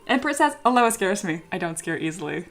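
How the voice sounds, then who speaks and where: Young female streamer, bubbly and excitable, reads chat aloud, faint music underneath. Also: mid-range voice, quick pace. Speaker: streamer, 3D sculptor. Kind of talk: casual livestream chat with viewers.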